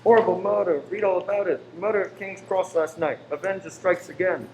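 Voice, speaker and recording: An actor in a radio play voicing an excited newspaper seller hawking loudly in the street. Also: mid-range voice, fairly quick, lightly acted. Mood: urgent, shouting. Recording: echoey room, faint noise.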